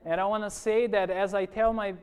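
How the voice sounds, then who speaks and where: A man's voice: high voice, little pitch movement, brisk, darkish tone, clear, resonant tone. A man from Brazil with a Brazilian Portuguese accent, a pastor giving an earnest sermon testimony to his church congregation and often asking them for an "amen.".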